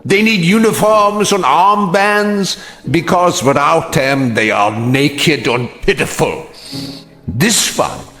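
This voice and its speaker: A man's voice: a little high, slow pace, pushed and bright, exaggerated voice. A scornful bitter old man. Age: older. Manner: scornful, cutting, mocking.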